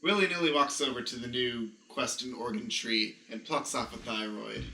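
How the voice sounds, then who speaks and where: A man's voice: medium pitch, moderate pace, background noise. A man narrating and hosting an improvised comedy radio play as its stage manager. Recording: echoey room, faint music.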